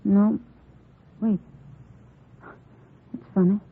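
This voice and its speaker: An actress playing a courteous, helpful doctor's nurse with a slightly hesitant way of speaking, in an old radio drama. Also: female voice, mid-range voice, little pitch movement, drawn-out words, creaky, slightly rough, exaggerated voice. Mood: polite, helpful. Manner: courteous, hesitant while checking. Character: polite, helpful female doctor's receptionist nurse. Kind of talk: 1950s radio drama acting. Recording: muffled, narrow-band old radio recording.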